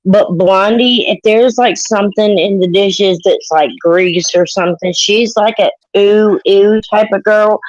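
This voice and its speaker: A woman's voice: medium pitch, fairly even intonation, measured pace, few pauses, no echo, muffled recording. A woman, telling rambling, joking stories about her kids in casual chat. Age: 30s.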